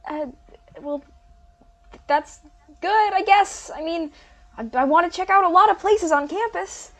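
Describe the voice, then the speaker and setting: A very high-pitched young student acted by a woman, bewildered and politely sceptical. The delivery is hesitant and deadpan, in the style of a comic audio drama. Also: a little slow. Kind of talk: comedic audio drama acting.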